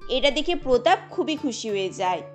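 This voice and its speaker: A woman's voice: a little high, very animated, normal speed, soft background music. A woman reading scripted storytelling narration for a cartoon.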